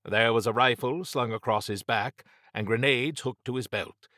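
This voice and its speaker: A man's voice: mid-range voice, moderate pace, sharp tone, no room sound, studio quality. A man narrating a scripted horror adventure as an audiobook reader.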